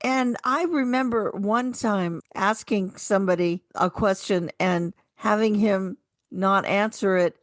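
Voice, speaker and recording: A woman's voice: medium pitch, no room sound, studio quality. A woman co-hosting a radio show, speaking in a casual, chatty style. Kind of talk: casual radio chat with some program introductions.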